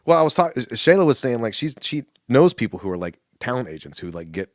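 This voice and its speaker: A man co-hosting a podcast in casual chat, telling animated stories, acting out quotes and laughing often. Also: mid-range voice, fairly quick, dry recording, studio quality. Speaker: podcast co-host.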